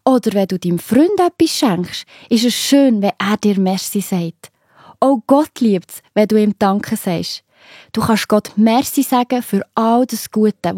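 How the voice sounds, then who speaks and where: A woman with a Swiss German accent, gently narrating and explaining a Bible story to children. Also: medium pitch, no echo, studio quality.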